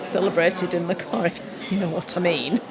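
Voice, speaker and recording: A woman's voice: fairly low pitch, steady tone, moderate pace, gravelly, over a noisy crowd, very noisy. A woman playing a bawdy, cheeky woman with many old flings, in a comic audio drama, earthy and teasing. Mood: bawdy indignant.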